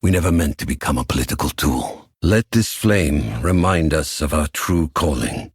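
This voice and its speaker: A proud, grudging elven archmage, with a profoundly deep and heavily rasping voice. He speaks coldly and formally, with weight on each phrase, in dramatic fantasy game style. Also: lively intonation, moderate pace, no room sound, studio quality, exaggerated voice. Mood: stern resolve. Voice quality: deep, rough-edged.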